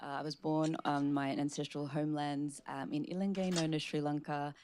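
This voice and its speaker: A woman with an Australian accent speaking as a panelist, casual and halting with frequent ums. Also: sits low, flat delivery, a little mellow, slight background noise. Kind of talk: panel talk.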